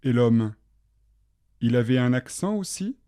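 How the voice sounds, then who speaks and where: A man reads the questions in a scripted practice dialogue in a measured, clear way. His voice is mellow and mid-pitched, and the recording is dry and close. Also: expressive, dry recording. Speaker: language-lesson dialogue reader. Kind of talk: scripted dialogue, asking questions, each line read twice.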